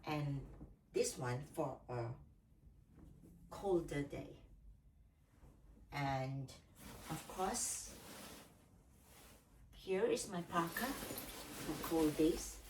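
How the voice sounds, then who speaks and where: A woman's voice: sits low, lively intonation, extremely slow, long pauses. A woman from Malaysia talking casually and plainly through things step by step, as a solo travel vlogger. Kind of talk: casual packing vlog. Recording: distant mic, slight background noise.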